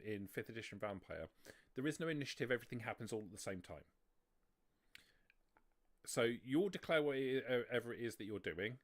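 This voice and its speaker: Animated man running a tabletop game. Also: medium pitch. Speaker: game master.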